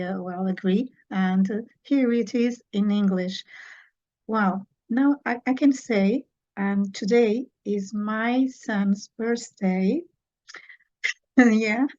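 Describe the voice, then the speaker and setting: A woman's voice: mid-range voice, a little mellow, highs cut off. A woman from Portugal with a Portuguese accent, speaking English as a second language and answering interview questions about her book, hesitant with many fillers. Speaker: author.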